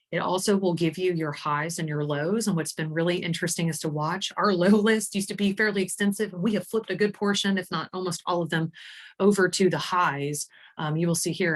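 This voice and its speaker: Low-pitched woman giving a software demo. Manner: enthusiastic, informal, explanatory. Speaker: HR executive demonstrating software. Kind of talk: software demo presentation, conversational.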